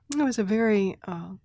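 Thoughtful, softly laughing woman interviewee. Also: mid-range voice, no room sound, studio quality. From Thailand. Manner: thoughtful, with restarts, soft laughs and throat clearing. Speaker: interview guest. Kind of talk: reflective conversational interview.

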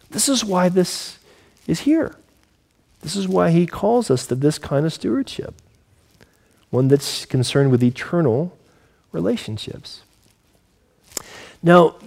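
Higher-voiced man in his forties, preaching. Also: a little mellow. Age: 40s. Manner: earnest, conversational, asks rhetorical questions of listeners. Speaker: pastor. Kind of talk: sermon.

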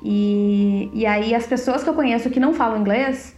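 A Brazilian woman with a Brazilian Portuguese accent and a fairly high voice, talking in a relaxed, chatty way and laughing easily. Her voice sounds roomy, with faint music behind her. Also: moderate pace. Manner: chatty, laughs often, stretches words. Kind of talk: casual conversational chat. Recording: echoey room with faint music.

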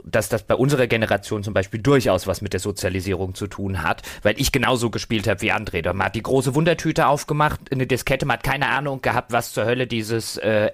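Man in casual analytical discussion. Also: medium pitch. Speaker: podcast panelist. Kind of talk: casual podcast discussion about video games.

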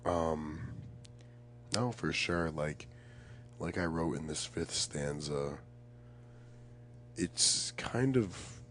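A young man speaks as a guest in a casual, reflective conversation. His voice is extremely deep. He is earnest and emphatic, searching for words as he goes, over a faint background hum. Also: creaky, a bit bright. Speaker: podcast guest, poet.

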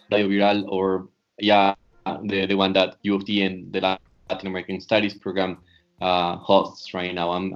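Young Ecuadorian guest, low flat voice, careful and slow. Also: male voice, muffled recording. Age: young adult. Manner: slow, careful, searching for words. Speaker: guest, student representative.

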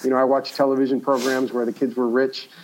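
A man's voice: mid-range voice, little pitch movement, quick pace, darkish tone, a little hiss or hum. A man, a guest on an interview show, telling personal stories conversationally with emphatic repetition. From born in Cuba, grew up in the United States. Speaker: podcast guest, entrepreneur. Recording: slightly dull, lo-fi sound.

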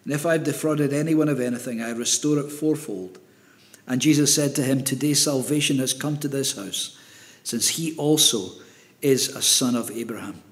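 A man reading a Bible passage aloud to a congregation in a steady, measured way. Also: medium pitch. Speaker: scripture reader.

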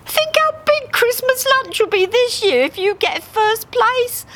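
A woman's voice: extremely high pitch, animated, a little slow, a bit bright, pitched above the performer's own voice, exaggerated voice. A woman voicing a bossy, scheming female duck dance teacher with a brash, colloquial manner, in a storybook reading. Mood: persuasive. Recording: live theatre recording with background noise.

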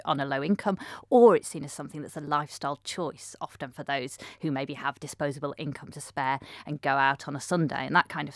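A woman's voice: low voice, dry recording, studio quality. A woman answering as an academic guest, conversational and thoughtfully explanatory. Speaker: interview guest, academic researcher.

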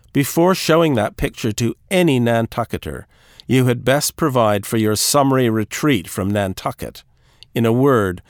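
Male audiobook narrator for classic literature: animated intonation, mid-pitched voice, close dry recording. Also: creaky, no room sound.

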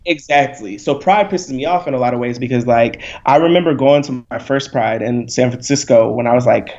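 A man's voice: mid-range voice, brisk, a little mellow. Blunt, passionate young man, slightly muffled. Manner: passionate, blunt and profane, with frequent "like" and "right?". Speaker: guest. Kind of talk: candid podcast conversation.

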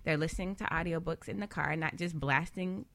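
Female financial advisor, bright forceful voice, emphatic coaching delivery in panel conversation. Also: sits low, fairly quick. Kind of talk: lively panel talk on money habits.

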